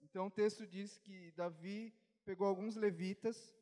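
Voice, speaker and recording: A man interpreting a sermon live, turning each phrase over quickly and fluently. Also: high-pitched, fairly even intonation, warm tone. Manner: quick, fluent rendering with fillers. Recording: long hall reverb.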